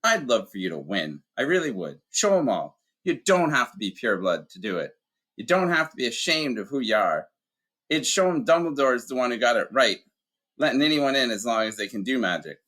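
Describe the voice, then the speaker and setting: A man voicing a big, tearful, warm-hearted half-giant gamekeeper in a hoarse, croaky voice, with broken, emotional country speech. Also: medium pitch, very animated, brisk, voice pushed up, rough recording. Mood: earnest. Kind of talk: audiobook character voice.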